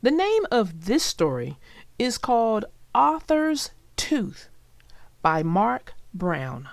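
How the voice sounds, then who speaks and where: A woman narrating a storybook for young children in an expressive, deliberate way. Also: on the high side, gravelly, no room sound.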